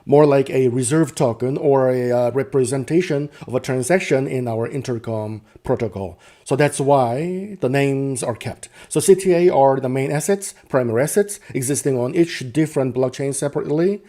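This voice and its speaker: Guy doing a tech demo off the cuff, mellow voice, mid pitch, goes step by step and rewords stuff. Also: moderate pace, hardly any pauses. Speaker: presenter demonstrating software. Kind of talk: unscripted technical demo narration.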